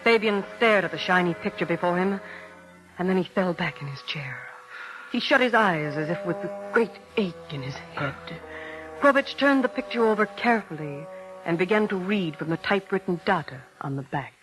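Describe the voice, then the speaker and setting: A man narrating an eerie 1940s radio drama as a solemn, deliberate storyteller. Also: high voice, lively intonation, music in the background, low-quality recording.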